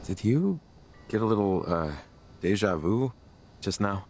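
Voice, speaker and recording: A man's voice: on the low side, animated, unhurried, slight background noise. A man playing an easygoing, wry, friendly local in a video game, with a folksy, musing manner. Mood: puzzled. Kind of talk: casual video game dialogue. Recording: loud music behind the voice. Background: loud music.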